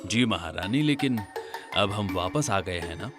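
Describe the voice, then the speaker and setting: Man acting a measured, dignified king over music. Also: on the low side, lively intonation. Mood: reluctant. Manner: measured, formal. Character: dignified king, secretive and dutiful.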